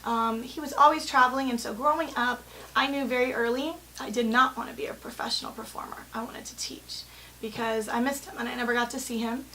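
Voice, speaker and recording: A woman in her thirties speaks in a fairly high voice, telling her own story in an earnest, articulate way. She sounds like a teacher presenting a personal profile. A faint hiss sits behind her voice. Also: fairly even intonation, fairly quick, bright, forceful tone, poor audio. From born in London, grew up in the Bahamas and England. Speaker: music teacher and company president. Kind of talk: spoken personal profile to camera.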